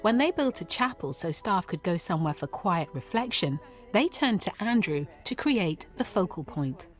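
A woman reading scripted voice-over narration for a TV programme, smooth and even. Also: fairly low pitch, normal speed. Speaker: TV presenter. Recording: faint music bed underneath.

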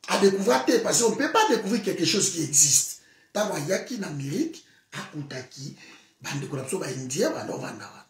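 A man in his thirties with a Congolese French accent and Lingala as his first language, on a sofa in an echoey room, set a little back from the microphone. His voice sits slightly high, and he argues his point with mounting passion, at times rising to a shout. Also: sing-song, brisk. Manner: switches between French and Lingala, grows heated and shouting. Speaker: political vlogger. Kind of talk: impassioned political monologue to camera. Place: indoor room, seated on a sofa. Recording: echoey room, voice a bit far from the mic.

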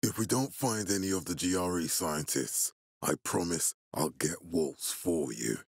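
An actor playing a stern, resolute, fatherly militia leader in a video game, speaking with measured, deliberate authority in a husky voice. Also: sits low, a little mellow, no echo, clean studio sound, full character voice. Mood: earnest, determined.

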